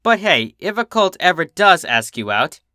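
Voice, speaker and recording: A man's voice: a little high, sing-song, moderate pace, bright, forceful tone, no room sound, studio quality, voice pushed up. A man voicing a cocky, protective female pegasus, a big sister with a brash, casual, reassuring manner. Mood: proud, warm.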